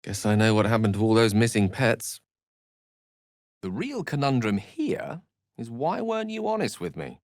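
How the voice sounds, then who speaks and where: An actor playing an eloquent, wry wizard in a video game, speaking in articulate, theatrical phrases with an earnest streak. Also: male voice, mid-range voice, big pitch swings, moderate pace, no echo, studio quality. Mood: wry, intrigued. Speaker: video game companion character. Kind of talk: scripted video game voice acting.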